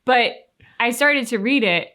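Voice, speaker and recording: A woman co-hosting a reaction show, talking casually and conversationally with expressive retellings. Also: fairly high pitch, measured pace.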